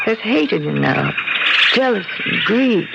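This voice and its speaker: An actress playing an aloof, enchanting guardian of a magic garden in old radio drama, measured and firm. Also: female voice, mid-range voice, big pitch swings, vocal fry. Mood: regretful, firm. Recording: muffled, very noisy old radio recording.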